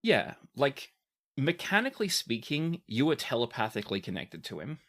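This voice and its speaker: A game master narrating a tabletop game with deliberate, dramatic scene-setting. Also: mid-range voice, very animated, fairly bright tone.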